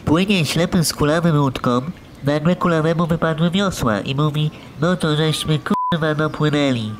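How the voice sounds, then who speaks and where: A man's voice: fairly high pitch, normal speed, a little hiss or hum, lightly acted. A man voicing a naive, cheerful fishing buddy in a cartoon, talking in a simple, colloquial way. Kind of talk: cartoon dialogue.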